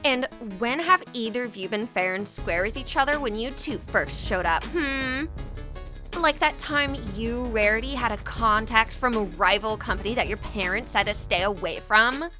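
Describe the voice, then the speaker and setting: Actress as taunting teen schoolgirl, high bright voice, cartoon drama with music behind. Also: moderate pace. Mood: haughty, scornful. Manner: taunting, sassy. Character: spirited, sassy teenage high school girl. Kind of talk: cartoon fan drama acting.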